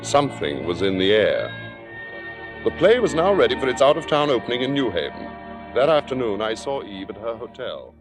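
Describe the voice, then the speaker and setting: An actor playing a suave, controlling theater critic in an old radio drama, with urbane, sardonic and precise phrasing. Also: male voice, mid-range voice, big pitch swings, moderate pace, music in the background, lightly acted. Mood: wry, detached. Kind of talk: 1950s radio drama acting and narration. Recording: reverberant old-time radio broadcast recording.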